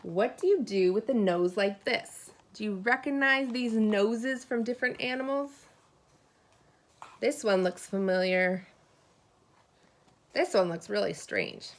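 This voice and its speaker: A woman teacher reading a children's picture book aloud expressively, pausing to put questions and asides to her young listeners. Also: mid-range voice, a little hiss or hum.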